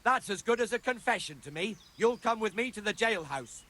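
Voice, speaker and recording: A stern, suspicious medieval gamekeeper from a video game. His voice is high and forceful, and he challenges people with officious authority. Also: lively intonation, fairly quick, exaggerated voice.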